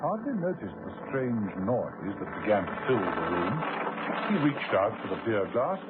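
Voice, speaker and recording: A man's voice: fairly low pitch, sing-song, moderate pace, phone-quality sound, very noisy. A man narrating a scripted suspense serial for radio as its storyteller. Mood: suspenseful. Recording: band-limited old radio recording with music. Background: music.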